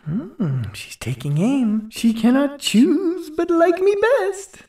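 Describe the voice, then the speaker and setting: A woman's voice: sits high, expressive, slow pace, warm tone, full character voice. A woman playing a boastful trickster servant posing as a rustic suitor, clownish and mocking, in a classical audio farce. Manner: cocky, mocking, chuckling clownish delivery. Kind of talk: classical stage farce acting in an audio play.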